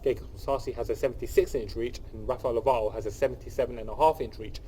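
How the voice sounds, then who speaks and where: A man's voice: medium pitch, fairly even intonation, very fast, dark tone, a little hiss or hum. A young man with a cold, hosting his channel and breaking down an upcoming fight in casual, enthusiastic commentary. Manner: enthusiastic, repeats phrases, many fillers and restarts. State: sniffly from a cold. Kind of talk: casual solo commentary to camera.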